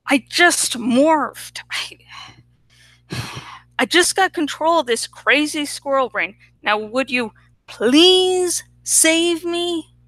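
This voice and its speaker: A woman's voice: sits high, lively intonation, slow pace, fairly bright tone, no echo, pitched above the performer's own voice, heavily acted, poor audio. A woman voicing an earnest, teasing teenage girl who shape-shifts into animals in a story reading. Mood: frantic.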